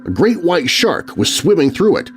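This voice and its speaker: A man performs an earnest older teenage boy in a kids' horror tale. He is stern and ominous, speaking like a campfire storyteller, with a very animated delivery over faint music. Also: fairly high pitch, normal speed, pitched above the performer's own voice. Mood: spooky storytelling. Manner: stern, hauntingly warning. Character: earnest eldest teenage brother, ominous, stern believer in legend.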